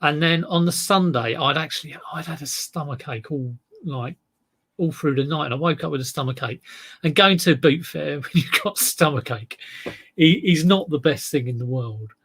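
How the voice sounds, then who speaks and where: A man with a relaxed South East London drawl chats loosely to his viewers, wandering from point to point. His voice sits a touch high for a man and carries plenty of gravelly fry. The tone is slightly husky rather than clean. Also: normal speed. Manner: rambling, chatty, reads out viewer comments. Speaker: eBay reseller livestream host. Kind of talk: casual live stream chat with viewers.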